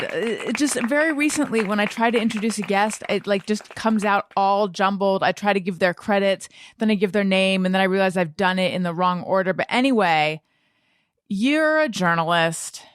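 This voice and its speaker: A woman's voice: medium pitch, no room sound. A middle-aged American woman hosting a podcast, chatting casually in a rambling, self-deprecating way. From Orange County, United States. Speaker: podcast host. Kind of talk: casual conversational interview.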